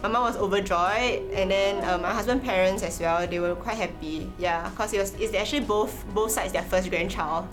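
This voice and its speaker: A woman's voice: mid-range voice, moderate pace, few pauses. A woman, talking casually and chattily in an interview. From Singapore. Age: 20s. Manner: chatty, many "like" fillers. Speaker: interviewee, young mother. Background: background music.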